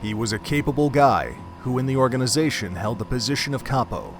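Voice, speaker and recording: A man's voice: medium pitch, lively intonation, fairly quick, music in the background. A man reading scripted story narration as the narrator of an audio drama.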